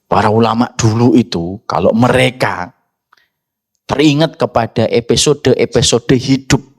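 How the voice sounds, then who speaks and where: A male Islamic preacher speaks in a mid-range voice. He delivers his sermon like a storyteller, conversational and direct with his listeners. Arabic religious phrases are woven into his talk. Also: medium pitch.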